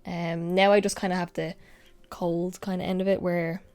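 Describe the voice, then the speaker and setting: A young woman talks her way through a makeup look in a relaxed, chatty way. Her voice carries a slight nasal, sniffly edge from a cold she is still getting over, and she slips into soft whispers now and then. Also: on the low side, normal speed, smooth. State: congested, recovering from a cold. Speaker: makeup vlogger. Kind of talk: casual makeup tutorial chat.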